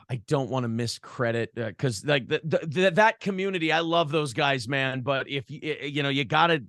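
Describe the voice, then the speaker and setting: A man's voice: mid-range voice, moderate pace, no room sound, studio quality. A man being interviewed in a casual conversation, telling stories in a joking, profane way. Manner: animated storytelling, profane, joking. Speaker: interview guest, broadcaster.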